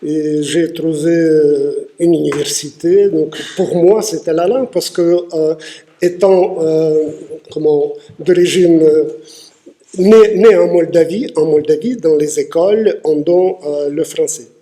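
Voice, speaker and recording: A man gives halting answers in a poet's interview, full of pauses and filler sounds. His voice is slightly raised in pitch, yet very dark and mellow in tone. He speaks at a very slow, deliberate pace. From Moldova. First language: Russian. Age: 60s. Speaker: guest poet.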